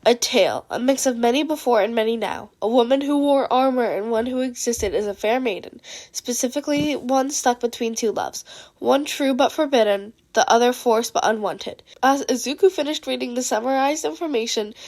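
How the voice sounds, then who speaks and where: A woman's voice: a little high, moderate pace, darkish tone. A woman reading fan fiction aloud as an amateur narrator, sounding a little under the weather. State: sick with a cold.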